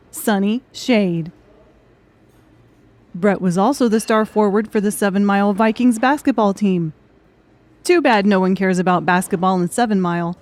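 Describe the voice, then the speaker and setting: A woman's voice: medium pitch, darkish tone. A woman reading scripted fiction narration as an audiobook narrator.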